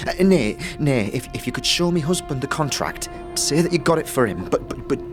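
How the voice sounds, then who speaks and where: A performer voicing a timid, grateful poor wife in a fantasy audio drama, halting and stammering in folksy dialect. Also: mid-range voice, animated, over music, lightly acted. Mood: relieved, pleading.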